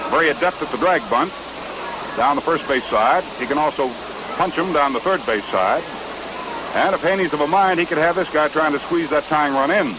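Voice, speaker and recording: American male baseball play-by-play announcer, 1950s radio style, fairly high voice, noisy archival sound. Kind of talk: 1950s radio sports commentary. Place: ballpark broadcast booth. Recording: noisy, muffled vintage AM radio broadcast.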